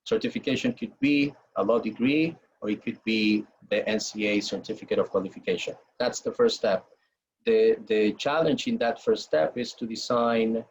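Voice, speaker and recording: A man from Venezuela with a Venezuelan Spanish accent, explaining things haltingly as a webinar panel moderator and often repeating words. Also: lowish voice, a little hiss or hum. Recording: dull lo-fi webinar audio.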